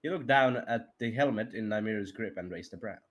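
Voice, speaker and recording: A man's voice: fairly low pitch, a little fast, soft background music. A man giving an amateur dramatic narration of a story, now and then stumbling over the words. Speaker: reader of fan fiction on a livestream.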